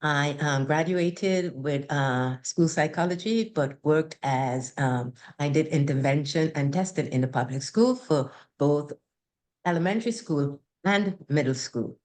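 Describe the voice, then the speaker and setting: An older woman with a Trinidadian accent talks at a slow, deliberate pace. Her voice sits a little low, and she answers questions in a measured, unhurried way. She breaks now and then into soft laughter. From Trinidad and Tobago. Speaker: guest. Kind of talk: interview conversation.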